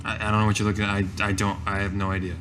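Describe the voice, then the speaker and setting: Uneasy male adventurer, played by a man at a game table, deep flat voice, hesitant, evasive, faint background noise. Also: very fast, close to an everyday voice. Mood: defensive, apologetic. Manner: hesitant, repeating words, formal phrasing. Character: young adventurer Mateo, guarded, uneasy, politely evasive.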